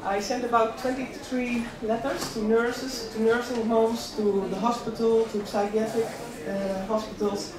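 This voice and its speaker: A middle-aged woman from the Netherlands gives a personal career talk in a conversational way. She is picked up from a distance in a very echoey space, with clear crowd sound around her. She leans on repeated words to make her points. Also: a little high, little pitch movement, unhurried, hardly any pauses. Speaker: invited speaker at a career event. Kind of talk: personal motivational talk with slides. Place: large room at an employment event. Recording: echoey hall, distant mic, audience noise.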